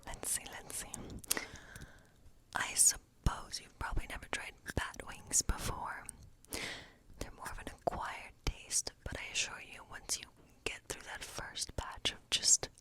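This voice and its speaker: A woman playing a hospitable, eccentric woodland witch in an ASMR role play, speaking in a breathy whisper with a slow, coaxing, reassuring, rambling manner. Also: close to an everyday voice, poor audio. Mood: gently coaxing. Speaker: ASMR performer.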